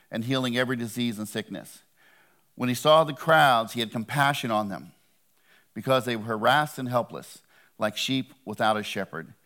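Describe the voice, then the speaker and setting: A man delivers a sermon as a visiting preacher. He talks to the congregation in a plain, conversational voice at an ordinary pitch, earnest and now and then gently joking. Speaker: guest preacher.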